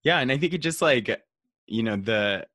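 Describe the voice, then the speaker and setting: A man's voice: mid-range voice, expressive, moderate pace, a bit bright, no echo, clean studio sound. A young man from rural Nevada chatting casually on a podcast, rambling with many fillers and restarts. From rural Nevada, USA. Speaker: marketing staff guest.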